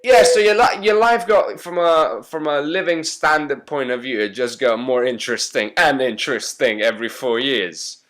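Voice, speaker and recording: Man hosting a podcast, jokey, rambling questions, mid-pitched voice. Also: normal speed, a bit bright. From Hungary. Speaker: podcast host. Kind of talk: casual interview chat.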